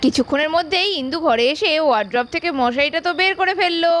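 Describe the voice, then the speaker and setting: Very high, bright woman storyteller. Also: lively intonation, fast talker. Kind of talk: animated children's doll-story narration.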